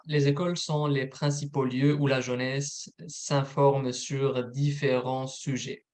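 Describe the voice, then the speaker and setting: Man presenting a recorded webinar. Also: mid-range voice. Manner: steady, methodical, informative. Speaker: bilingual program manager presenting a webinar. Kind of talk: read presentation.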